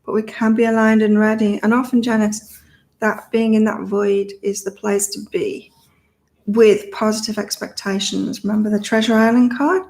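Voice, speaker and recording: Middle-aged British-born woman, psychic livestream host, monotone, loose and repetitive. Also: medium pitch, normal speed, poor audio. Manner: rambling, thinks aloud, repeats herself. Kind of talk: casual live chat reading viewer comments.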